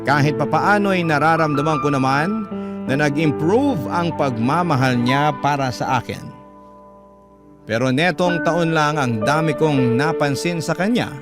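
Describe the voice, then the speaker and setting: A man's voice: on the high side, unhurried. A man giving scripted first-person narration in a confessional, wistful manner, in a classic radio-drama style. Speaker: letter narrator. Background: loud background music.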